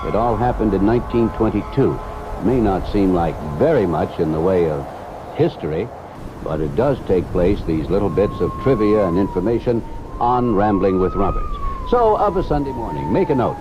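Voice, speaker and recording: A man's voice: lowish voice, wildly swooping pitch, normal speed, background noise. A man reading a folksy, chatty promo for his weekend radio show. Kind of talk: station promo announcement. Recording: dull vintage AM radio recording with music.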